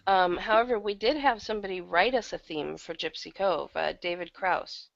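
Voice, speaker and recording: A woman's voice: fairly low pitch, moderate pace, vocal fry, lo-fi sound. A woman talking casually as a guest in an interview about her work as a producer. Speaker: guest, audio drama producer.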